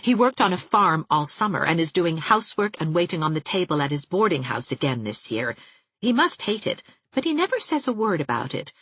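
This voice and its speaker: A woman's voice: fairly low pitch, very animated, a little fast, breathy edge, dry recording. A woman narrating an audiobook, reading scripted story prose expressively. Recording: narrow, phone-like audiobook sound.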